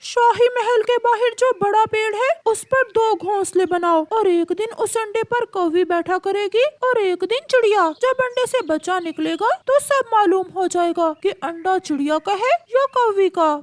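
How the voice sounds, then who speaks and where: A woman's voice: very high-pitched, unhurried, hardly any pauses, pitched above the performer's own voice. A woman voicing a stern, commanding parrot king in a children's cartoon, declaiming imperiously. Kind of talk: children's cartoon story acting.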